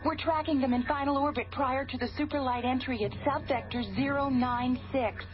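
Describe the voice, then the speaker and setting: A woman's voice: high-pitched, fairly even intonation, moderate pace, close to an everyday voice. An actress playing a dutiful female lab analyst in a radio drama, reporting technical findings formally. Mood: dutiful, concerned. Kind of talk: science fiction radio drama acting. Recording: dull old radio recording.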